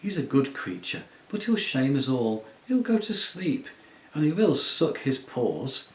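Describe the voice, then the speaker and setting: A blunt male dwarf scolds in a sharp, gruff way, as a man puts him on for a storybook reading. The voice has a roomy echo. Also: medium pitch, very animated, a little slow. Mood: urgent.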